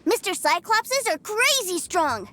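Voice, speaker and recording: Bright, very high-pitched little boy. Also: sing-song, a little slow, exaggerated voice. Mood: eager, proud. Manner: eager, boastful, childish exclamations. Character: excitable, trusting little boy who adores toy robots. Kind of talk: animated video game voice acting.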